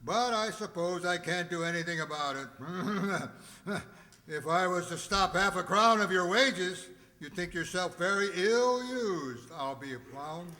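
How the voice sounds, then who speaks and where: A man acts out a grumpy old miser in a radio play. The voice is high and hard-edged, gruff and full of contempt, ringing through a long hall reverb. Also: animated, a little slow, sharp tone, full character voice. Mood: grudging, irritated. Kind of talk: radio play acting of a Victorian tale.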